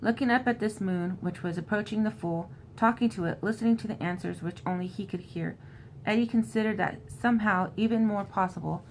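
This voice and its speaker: A woman host gives an informal book recap, moving between loose retelling and reading aloud. Her voice sits at an ordinary mid pitch for a woman. There is noticeable ambient noise behind her. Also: a little fast, low-quality recording. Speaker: host recapping a horror novel. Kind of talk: casual book recap with passages read aloud.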